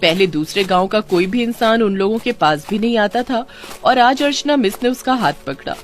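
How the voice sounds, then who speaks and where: A woman's voice: medium pitch, lively intonation, normal speed, soft background music. A woman reading scripted narration for a children's moral story.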